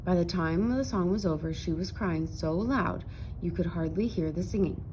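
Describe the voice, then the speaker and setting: A woman narrating a children's picture book aloud in an expressive storytelling style. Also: on the low side, normal speed, a little hiss or hum, low-quality recording.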